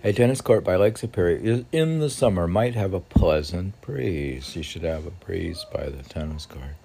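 A man reading his own poems informally, meandering and halting, with musing asides and rhetorical questions. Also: deep voice, lively intonation, creaky, mellow, husky. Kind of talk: informal poetry reading with spontaneous commentary.

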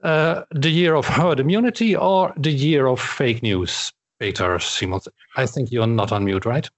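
A man co-hosting a casual radio discussion, reflective and measured. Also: medium pitch. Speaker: station founder and co-host.

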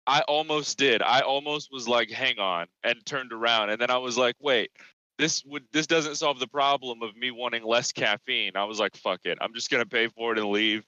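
Young male co-host, casual call-in chat, bright tense tone, crisp and dry, lo-fi line. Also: mid-range voice, moderate pace, no room sound. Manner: rambling storyteller, swears freely, restarts often. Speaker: podcast co-host. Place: at work. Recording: lo-fi call audio.

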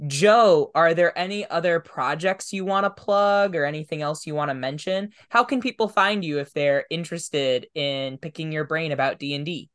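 A man's voice: fairly high pitch, normal speed, few pauses, fairly bright tone, clean tone. A man hosting a podcast interview in a casual, conversational style.

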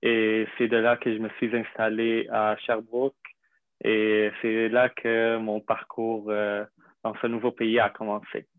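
A young man from Syria, a native Arabic speaker, telling his story in an interview with many pauses, fillers and restarts. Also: fairly low pitch, completely flat delivery, no room sound. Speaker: interview guest. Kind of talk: hesitant conversational interview. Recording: dry tinny phone-like line.

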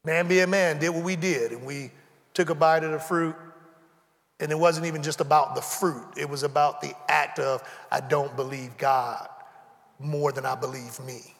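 Pastor in his forties, high bright voice, playful preaching, acts out both sides of a dialogue. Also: fairly even intonation, moderate pace, big-room sound. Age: 40s. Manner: conversational preaching, acts out dialogue, humorous asides. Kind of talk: sermon. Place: church sanctuary.

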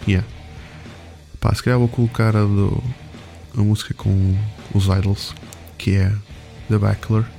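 A man's voice: fairly low pitch, little pitch movement, unhurried, creaky. A man with a European Portuguese accent hosting a music show, chatting casually in an informal, rambling, slangy way as he introduces songs.

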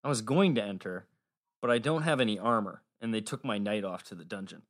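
A man's voice: fairly low pitch, fairly quick, close to an everyday voice. A man voicing an earnest, unlucky young squire in a storybook, with a hesitant, glum manner. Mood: wry, glum. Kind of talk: audiobook character acting.

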